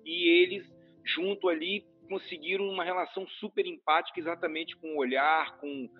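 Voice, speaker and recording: Hesitant Brazilian podcast host, lo-fi. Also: male voice, a little high, fairly even intonation, normal speed, slightly rough. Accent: Brazilian Portuguese. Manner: hesitant, thinking aloud with restarts. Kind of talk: podcast interview. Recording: dull lo-fi audio with faint music.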